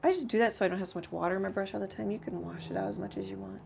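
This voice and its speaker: A woman hosts a relaxed live painting demonstration, thinking out loud as she works. Her voice is a little rough, with a gravelly creak and a middle pitch. There is faint noise in the studio behind her. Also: very fast. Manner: rambling, thinking aloud while working. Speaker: painter hosting a live art tutorial. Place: home art studio.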